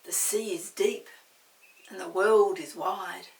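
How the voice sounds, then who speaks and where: A woman's voice: lowish voice, steady tone, takes it slowly, vocal fry. A woman voicing a tiny wistful snail who longs for adventure in a storybook, with emphatic, eager delivery. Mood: wistful longing. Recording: roomy, distant mic, faint hiss.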